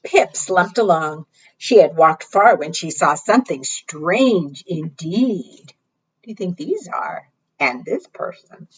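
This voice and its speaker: Woman doing kids storytime, slow and sing-song, big pitch swings, slightly muffled recording. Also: breathy edge. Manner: sing-song, addresses small children with questions. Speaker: library storytime host. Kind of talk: storytime read-aloud and teaching for preschoolers.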